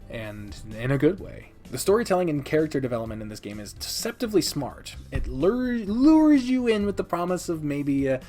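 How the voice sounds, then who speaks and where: A man's voice: fairly high pitch, sing-song, fairly quick, hardly any pauses, dark tone. A man giving enthusiastic, earnest video game commentary with dramatic emphasis and casual swearing. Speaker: video game reviewer counting down favorites. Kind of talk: enthusiastic video commentary, part scripted, part off the cuff. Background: background music.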